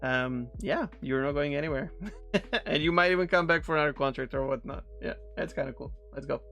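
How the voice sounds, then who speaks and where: A man's voice: fairly high pitch, brisk, a bit bright, soft background music. A man in his thirties with a Serbian accent, a non-native English speaker from Serbia, casually rambling with friendly ums and restarts. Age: 30s. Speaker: streamer reacting to a video. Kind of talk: casual reaction commentary.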